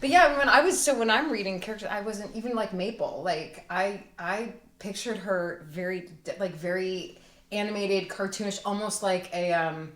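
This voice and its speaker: A woman's voice: medium pitch, normal speed, fairly bright tone. A woman chatting casually as a guest, often interrupting herself and starting over as she explains. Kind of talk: casual chat. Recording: echoey room.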